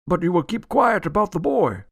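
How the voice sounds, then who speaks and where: An actor in a radio drama playing a cantankerous, litigious old gentleman, pompous and indignant, declaiming in a creaky voice. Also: high voice, very animated, moderate pace, no echo, studio quality, full character voice. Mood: eager, conspiratorial. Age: older.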